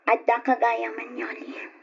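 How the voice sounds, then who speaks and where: A sulky young daughter complains in a high, husky voice. She sounds petulant and resentful, in an old radio drama with dull, lo-fi sound. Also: completely flat delivery, unhurried, heavily acted. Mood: sullen, bitter.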